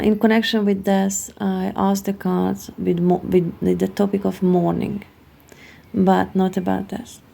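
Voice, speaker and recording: A woman with a Hungarian accent talks through a card reading at an unhurried pace. Her voice is very gravelly with a lot of vocal fry, and its tone is dark and mellow. She pauses often to search for the next word. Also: mid-range voice. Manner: halting, searching for words. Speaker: tarot card reader.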